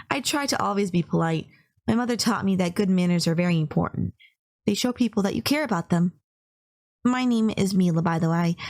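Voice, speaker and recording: A woman's voice: mid-range voice, vocal fry, darkish tone. Woman acting a sweet, polite, lovestruck yandere girlfriend. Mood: shy, polite, then bashful, flattered. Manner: polite, bashful, earnest, rambling with small stammers. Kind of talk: first-person romantic audio roleplay, speaking to listener.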